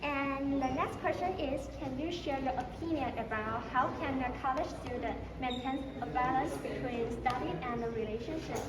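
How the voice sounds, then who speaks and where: High-pitched young woman interviewing, echoey recording. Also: normal speed, hardly any pauses. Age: young adult. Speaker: student interviewer. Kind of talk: scripted questions in casual interview. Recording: echoey, noisy traffic, distant mic.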